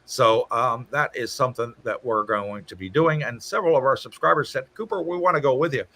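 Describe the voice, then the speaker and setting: A man's voice: medium pitch, fairly quick, pushed and bright. A man hosting a casual livestream from his home, reading out viewer comments and answering them in a chatty way. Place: home in Thailand.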